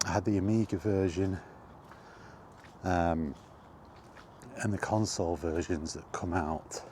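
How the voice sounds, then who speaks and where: A middle-aged man giving a casual, rambling chat as he walks outdoors, in an unhurried, offhand manner. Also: low voice, fairly even intonation, darkish tone. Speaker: video game vlogger. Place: outdoors, walking toward a park. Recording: outdoor walking recording with background noise.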